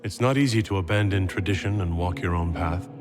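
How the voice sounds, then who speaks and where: A man playing a calm, commanding hero in a video game cutscene, composed and direct. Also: sits low, brisk, over music, close to an everyday voice. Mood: supportive.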